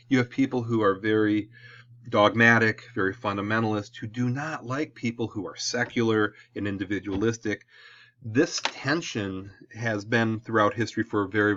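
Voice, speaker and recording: A man with a Midwestern American accent, lecturing on history as a teacher in a relaxed classroom-video way, often checking in with "okay?". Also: lowish voice, highs cut off, slight background noise.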